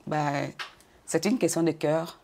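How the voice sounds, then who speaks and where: Low-voiced older woman from Côte d'Ivoire. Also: fairly even intonation, creaky, a little mellow. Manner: measured, repeats words, brief laughs. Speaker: interview guest. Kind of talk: interview answers.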